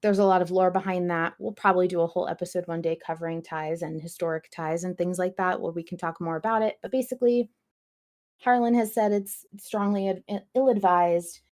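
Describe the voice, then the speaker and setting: A woman with a slightly low voice hosts a casual podcast. She explains things in a chatty, conversational flow, leaning on words for emphasis. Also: steady tone, fairly quick, a little mellow. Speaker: podcast host.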